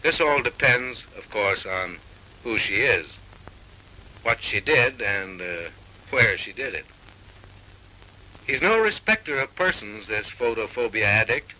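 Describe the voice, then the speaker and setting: Bright-voiced 1930s film narrator, a man. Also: fairly low pitch, big pitch swings, measured pace, pauses often. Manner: arch, tongue-in-cheek, theatrical delivery. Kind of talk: 1930s comic film narration, mock-serious. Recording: noisy 1930s film soundtrack.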